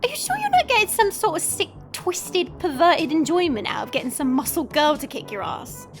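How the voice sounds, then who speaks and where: A woman's voice: very high voice, sing-song, normal speed, faint music behind. A woman playing a cheeky, chatty young monster girl in an audio roleplay, playful, teasing and theatrical. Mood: defiant, teasing.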